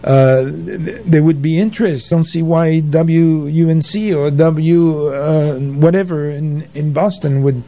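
A man being interviewed on radio, speaking off the cuff and haltingly as he searches for his words. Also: on the high side, steady tone, dark tone. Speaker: guest, community radio and arts centre founder. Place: radio station.

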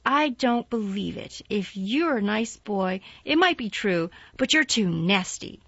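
A woman's voice: mid-range voice, lively intonation, a little slow, creaky, fairly bright tone, slightly rough, no room sound, exaggerated voice. A woman voicing an obstinate, sour little girl in a storybook reading, speaking sharply and stubbornly. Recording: dull, dry, lo-fi sound.

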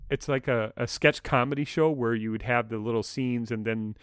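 A man hosting a podcast discussion, talking in a measured way with thoughtful pauses. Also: medium pitch, fairly even intonation, fairly bright tone, clean tone, no echo, clean studio sound. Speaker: podcast host.

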